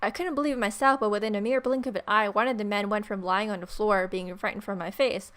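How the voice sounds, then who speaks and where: Female let's play host, mid-pitched, irreverent and playful, switching between narration and offhand remarks. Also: fairly even intonation, fast, fairly bright tone. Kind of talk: visual novel read-aloud with casual commentary.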